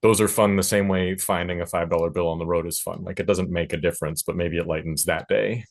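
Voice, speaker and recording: A man in his thirties with a deep voice speaks as a panelist. His talk is casual and reflective, with an easygoing, colloquial way of putting things. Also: steady tone, fast, gravelly. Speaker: panelist, music professional.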